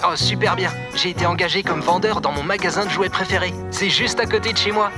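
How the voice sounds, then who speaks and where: A man's voice: high-pitched, fast, natural voice. An actor playing a clumsy, good-hearted young man in a French audio drama, hesitant and boyish in his manner. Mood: cheerful, eager. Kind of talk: French audio fiction acting with narration. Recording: music behind the voice. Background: music.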